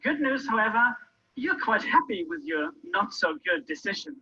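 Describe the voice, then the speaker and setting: Guy co-hosting a workshop, bright husky voice, kinda muffled recording. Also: sits high, big pitch swings, moderate pace. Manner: explanatory, giving verdicts to the audience. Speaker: workshop co-presenter. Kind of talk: interactive presentation to an audience.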